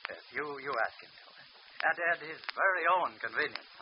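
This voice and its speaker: A man's voice: on the high side, expressive, moderate pace, natural voice. A man playing a pompous, flustered district attorney. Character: pompous, fussy middle-aged male district attorney. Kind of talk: 1940s radio acting. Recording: muffled old radio broadcast with hiss.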